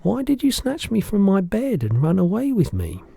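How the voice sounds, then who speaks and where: A polite little girl in a children's storybook, played by a male narrator. The voice is lifted high, with lively rises on short, curious questions. The character sounds plucky and inquisitive. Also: moderate pace, creaky, husky, no room sound, exaggerated voice. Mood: questioning.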